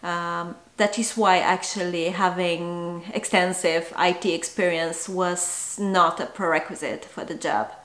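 Slow, measured woman in a roomy room. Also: fairly low pitch, fairly even intonation, fairly bright tone. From Bulgaria. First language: Bulgarian. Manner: measured, thoughtful, with frequent ums. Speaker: translator vlogger. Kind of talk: talking to camera about her work. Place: small indoor room at home. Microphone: camera in front, upper-body framing. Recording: roomy echo.

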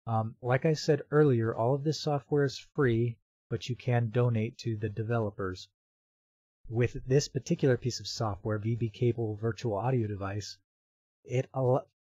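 A man walks through software setup step by step in an informal, explanatory manner. His voice is mellow and sits at a middling pitch. The recording is dry and somewhat dull, as from a close voice-over microphone. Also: no echo. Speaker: software tutorial presenter. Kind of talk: step-by-step screen tutorial narration.

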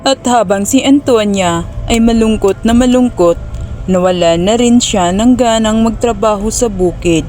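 A woman narrating a cartoon folk tale with a mellow, somewhat high voice. She tells the story plainly and steadily as faint music plays underneath. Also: measured pace.

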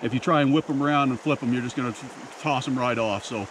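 A man's voice: mid-range voice, fast, noisy background. A middle-aged American man chatting casually and folksily to camera, as an outdoor fishing host. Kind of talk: casual explaining and chat to camera. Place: outdoors by a creek and meadow.

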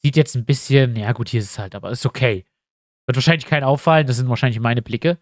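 A man's voice: medium pitch, quick pace, no echo, clean studio sound. A man with a stuffy nose, chatting casually to his viewers as he thinks aloud through building a map in a tutorial. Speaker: YouTuber building a tabletop map. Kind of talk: casual screen-recorded tutorial.

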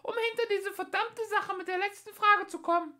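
Squeaky high mouse voices. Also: male voice, full character voice. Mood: scheming. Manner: fast, excited, scheming. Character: squeaky fast-talking male mice scientists.

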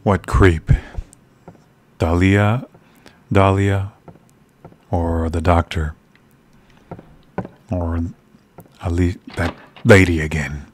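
A soft-spoken male gamer who is losing his voice, giving casual commentary as he plays. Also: deep voice, steady tone, very slow, pauses often, slight background noise. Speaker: video game streamer. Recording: loud game music behind. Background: horror game soundtrack.

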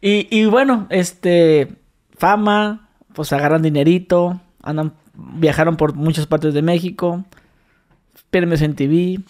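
Relaxed podcast host. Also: male voice, fairly high pitch, takes it slowly. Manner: relaxed, asks questions with fillers. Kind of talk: casual interview.

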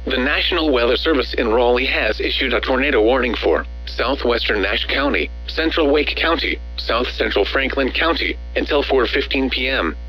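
A man's synthetic-sounding voice reading scripted emergency weather bulletins as a radio announcer, in a measured, formal, automated delivery. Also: mid-range voice, bright, forceful tone, soft background music, rough recording.

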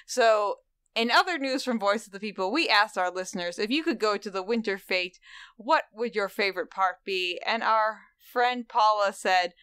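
Bright, enthusiastic woman podcast host. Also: mid-range voice, moderate pace, clean tone, no echo, studio quality. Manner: enthusiastic, gushing. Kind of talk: casual chat.

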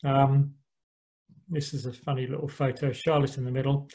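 A middle-aged man giving a relaxed slide talk about a sailing voyage, with frequent ums and dry asides. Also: medium pitch, normal speed. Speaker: yacht club speaker. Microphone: online call microphone.

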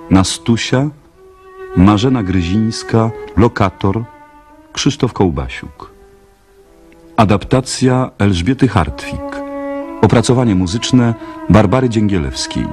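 Low-voiced, unhurried male radio announcer. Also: darkish tone. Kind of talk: formal scripted credits announcement.

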